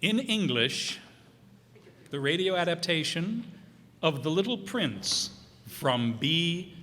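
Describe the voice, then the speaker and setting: A man introducing a show informally, reading from notes in a casual, halting, musing way. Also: a little high, sing-song, sharp tone. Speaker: presenter introducing a radio players' show. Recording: echoey hall.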